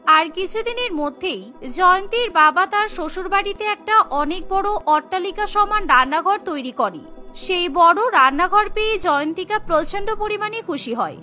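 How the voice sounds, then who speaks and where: Female cartoon storyteller, very high and bright, scripted narration over soft music. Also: expressive, normal speed.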